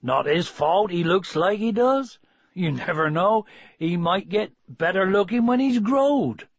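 Man acting a husky rustic old farmer. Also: high-pitched, animated, a little slow, no echo, lo-fi sound, voice pushed up, exaggerated voice. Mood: sheepish. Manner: rustic, solemn, blunt. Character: gruff, soft-hearted old male farmer with rustic speech.

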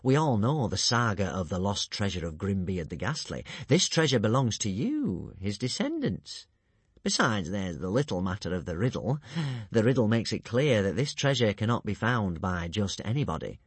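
A man voicing a sly male schemer who poses as a poor but honest farmer, smooth and crafty. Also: fairly low pitch, very animated, normal speed, dry recording, full character voice.

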